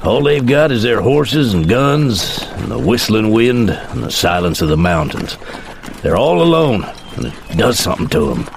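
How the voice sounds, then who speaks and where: An actor playing a middle-aged frontier father with an American Western drawl, laconic, folksy and measured, in a dramatized western audiobook. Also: on the low side, sing-song, vocal fry, slight background noise. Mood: reflective. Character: steady, plainspoken frontier father on horseback.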